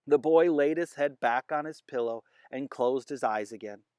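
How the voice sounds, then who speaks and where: A storyteller reading a children's chapter book aloud, with expressive narration. The recording is close and dry, with no room around the voice. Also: mid-range voice, moderate pace, clean tone, no room sound. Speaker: storybook reader.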